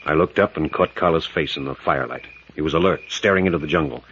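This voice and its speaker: A brave adventurer captain in 1940s radio drama. His deep voice is bright and forceful, his delivery clipped and plain. The old recording is muffled with faint noise. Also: fast. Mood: uneasy, earnest.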